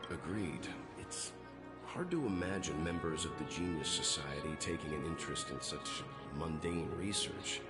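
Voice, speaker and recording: A man's voice: rumbling low, expressive, moderate pace, loud music behind the voice. A man playing a sober, level-headed traveler in a video game, speaking in a reserved, matter-of-fact way. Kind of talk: video game character acting.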